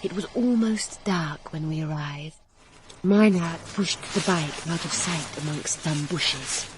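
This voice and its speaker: A woman's voice: deep voice, slow, background noise. An actress playing a passionate young woman in love in a radio drama, intimate and emotional as she speaks and narrates her romance. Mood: reflective. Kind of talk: radio drama acting with first-person narration.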